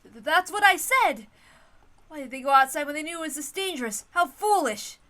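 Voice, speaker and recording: An anxious, scolding wife acted out by a woman reading aloud speaks in a high, sharp voice. She is flustered and exasperated, pressing hard on her words in indignation. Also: lively intonation, moderate pace. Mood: indignant.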